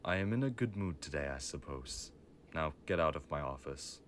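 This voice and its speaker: A man's voice: a bass voice, expressive, normal speed, fairly bright tone, slight background noise. A man playing a stern, commanding mafia father in an audio drama, speaking with formal, clipped authority. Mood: curt, dismissive.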